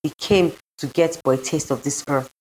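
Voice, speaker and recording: A solemn sea deity read by a woman speaks in a low voice in an audio story. The delivery is stately and formal, merciful and grave. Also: moderate pace, a little mellow, close to an everyday voice, low-quality recording. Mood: reassuring.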